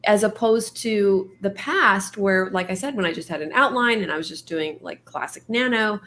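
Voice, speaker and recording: A woman's voice: mid-range voice, normal speed, a bit bright. Chatty woman losing her voice. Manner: chatty, laughs often, many fillers. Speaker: writing stream host. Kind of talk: casual chat.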